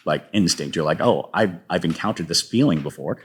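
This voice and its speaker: A man with a low voice talks as an interview guest about his craft. He speaks in a halting, thoughtful way, building explanations out of small quoted asides. The delivery is conversational and unscripted. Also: expressive. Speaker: guest playwright.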